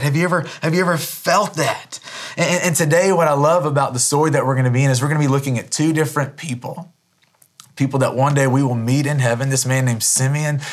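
Hoarse man preaching casually. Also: mid-range voice. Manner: earnest, conversational, frequent restarts and repeated words. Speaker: pastor. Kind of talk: sermon.

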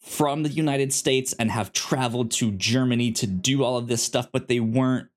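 A man co-hosting a podcast, chatting casually about television in a halting, stammering way that often repeats words. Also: medium pitch, steady tone. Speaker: podcast host. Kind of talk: casual TV review chat.

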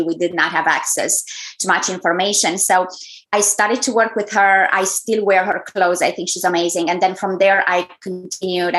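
A woman's voice: mid-range voice, fairly bright tone, rough recording. Effusive woman with a Romanian accent. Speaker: guest, brand strategist. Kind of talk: interview answers.

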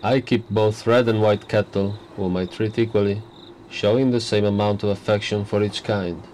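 An actor playing a pompous, smug prime minister in a radio play, lecturing with boastful self-importance. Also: male voice, fairly low pitch, steady tone, moderate pace, a little mellow, a little hiss or hum, lightly acted. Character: pompous arrogant male prime minister, middle-aged. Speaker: politician.

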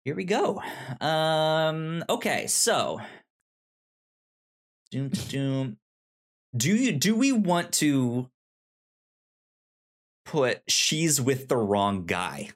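A podcast co-host talking casually through a book review, halting and often trailing off. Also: medium pitch, big pitch swings.

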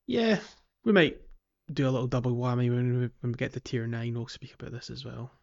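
A man's voice: mid-range voice, brisk, vocal fry, dry recording. A man co-hosting a podcast, chatting casually. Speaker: podcast co-host. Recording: slightly dull lo-fi microphone.

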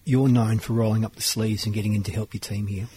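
A man hosting a business interview. He talks quickly with a lowish voice and asks upbeat, friendly questions, with faint background noise. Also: flat delivery, warm tone. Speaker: interviewer host.

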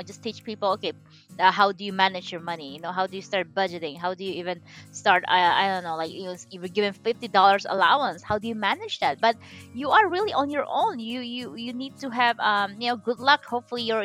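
Chatty woman financial coach. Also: on the high side. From Philippines. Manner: animated, chatty, frequent restarts and "you know". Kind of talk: casual interview chat.

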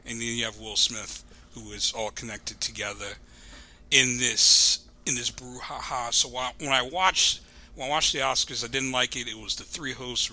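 A man's voice: medium pitch, bright, forceful tone. A man who is a podcast guest, telling a story casually in a rambling, digressive way.

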